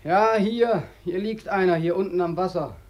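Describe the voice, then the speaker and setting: A man's voice: sits high, normal speed, lightly acted. A man plays a hopeless, freezing soldier back from war in a radio drama. His voice is sad and desolate. He laments with weary, emphatic bitterness. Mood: forlorn, wry. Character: bitter, freezing, limping war returnee, hopeless and self-pitying. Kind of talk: German radio play acting.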